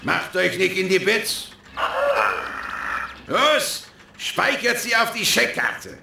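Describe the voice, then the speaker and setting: Creaky, smug villain boss. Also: male voice, sits high, big pitch swings, slow, full character voice. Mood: commanding. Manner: smug, theatrical, commanding. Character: scheming male hacker boss, smug and commanding. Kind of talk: children's radio drama acting. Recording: echoey, with faint noise.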